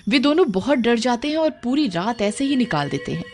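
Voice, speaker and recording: A woman's voice: a little high, very animated, a little fast. A woman narrating a scripted cartoon horror tale in a dramatic storytelling manner. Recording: loud music under the voice. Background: loud background music.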